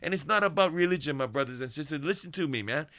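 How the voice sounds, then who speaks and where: Fervent male radio preacher, bright voice. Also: expressive, quick pace, no echo. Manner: fervent, repetitive preaching with big pitch swings. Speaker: prison ministry preacher. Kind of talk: radio sermon.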